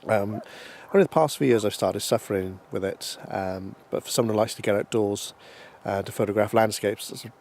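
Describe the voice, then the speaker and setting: A man's voice: lowish voice, fairly quick, a little mellow, a little hiss or hum. A man vlogging in a casual, chatty way, with many ums and restarts, congested from hay fever, talking from outdoors in a mountain valley. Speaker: landscape photographer.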